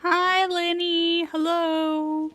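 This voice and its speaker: A woman's voice: very high voice, flat delivery, drawn-out words, a bit bright, no echo. A woman streaming a game, reacting casually and playfully as she chats along. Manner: playful, often chuckling. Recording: faint game music behind. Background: faint game music.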